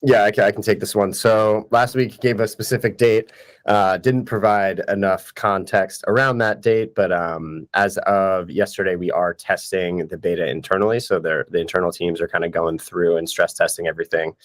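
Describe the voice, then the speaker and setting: A man's voice: low voice, fairly even intonation, moderate pace, no echo. A man explaining his work as product owner in an upbeat, casual way. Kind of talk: casual chat.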